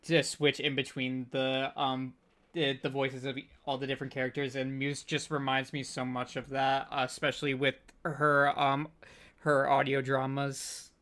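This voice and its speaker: A man chats casually as a livestream co-host. His pitch stays fairly flat and narrow, and he speaks haltingly, stammering and searching for his words. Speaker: co-host of a panel discussion.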